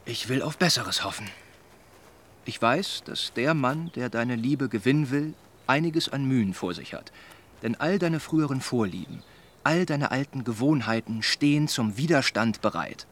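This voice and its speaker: Earnest young gentleman, lively intonation. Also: medium pitch. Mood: earnestly persuasive. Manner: measured, formal, persuading. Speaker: radio drama actor. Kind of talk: German radio drama dialogue.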